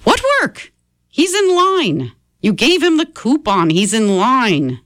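A woman voicing a scolding elderly grandmother, fussy and sharp-tongued, with exasperated retorts. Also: very animated, slow pace, no room sound, studio quality, heavily acted. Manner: exasperated, high-pitched retorts.

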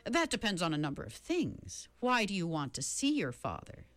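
Villain for a storybook: smooth, mocking man, drawn-out chanting delivery, lively rises and falls, as a woman narrator puts him on. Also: fairly low pitch, no room sound, soft background music. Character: sinister bland man on a chair, mocking.

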